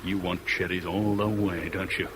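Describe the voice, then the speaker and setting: An actor playing a sharp, sardonic, impatient smuggler on the run in a 1970s radio drama. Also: male voice, sits low, little pitch movement, normal speed, lightly acted. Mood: curt, impatient. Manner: sardonic, curt. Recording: noisy vintage radio drama, sound effects behind.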